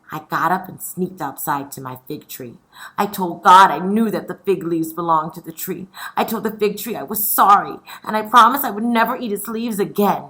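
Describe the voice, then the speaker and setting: A woman teacher reading a children's story aloud and discussing it in an expressive style. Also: on the low side, rough recording.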